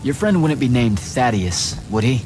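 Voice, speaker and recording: A man's voice: mid-range voice, sing-song, normal speed, over music, close to an everyday voice, low-quality recording. A man playing an earnest, plain-spoken young soldier hero in a video game cutscene, casual and direct. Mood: puzzled.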